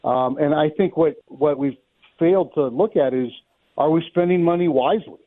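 A man's voice: on the high side, normal speed, dry recording. A man giving conversational sports analysis as a baseball announcer being interviewed, wordy and repeating words as he thinks aloud. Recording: thin phone-like line.

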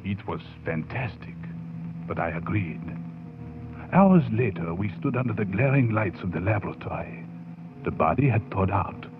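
An actor playing an anguished professor in 1940s radio horror, with melodramatic delivery and halting, dramatic pauses. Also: male voice, fairly low pitch, very animated. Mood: grim narration. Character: anguished male professor experimenting on the dead. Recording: tinny old radio broadcast.

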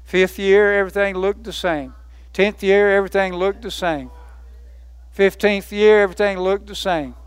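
A man's voice: high voice, fairly even intonation, normal speed, clear, resonant tone, no room sound. A man preaching a sermon, emphatic, building lists by repetition and asking rhetorical questions.

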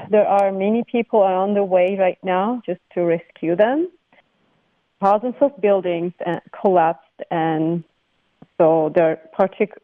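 A woman's voice: lowish voice, no room sound. Turkish-accented woman on a phone line. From Turkey. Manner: halting, many fillers and restarts. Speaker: phone-in guest. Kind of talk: news radio phone interview.

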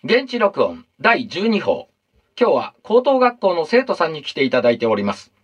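An actor playing an earnest, courteous 1950s radio field reporter in a radio drama, speaking politely and carefully. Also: male voice, on the high side, wildly swooping pitch, moderate pace, breathy edge, dull audio, exaggerated voice. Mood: formal, earnest. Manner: polite, formal, carefully enunciated.

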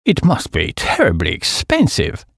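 A man's voice: low voice, wildly swooping pitch, measured pace, gravelly, fairly bright tone, no echo, clean studio sound, pitched below the performer's own voice, full character voice. A man voicing a stingy old English duke, pompous and aggrieved, with a British accent. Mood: aggrieved, astonished. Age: older.

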